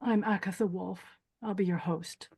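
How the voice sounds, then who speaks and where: A woman's voice: medium pitch, fairly even intonation, normal speed, mellow, breathy edge. A woman playing a stern, callous older beauty queen who hosts a pageant in a screenplay table read, commanding and cold in manner. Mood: coolly commanding. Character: stern, callous 64-year-old female pageant host, former beauty queen.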